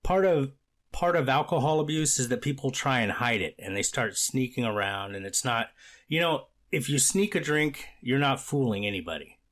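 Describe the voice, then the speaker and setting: A man playing a stern but paternal judge, speaking formally and deliberately in a court transcript reenactment. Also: mid-range voice, lively intonation, fairly bright tone, natural voice. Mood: firm, advising. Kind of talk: amateur readers theater court transcript reenactment.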